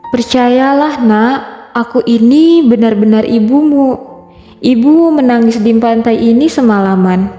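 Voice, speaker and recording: A woman voicing a gentle, anxious mother with a soothing, pleading manner in a children's folktale. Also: fairly high pitch, measured pace, soft background music. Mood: tender, sorrowful.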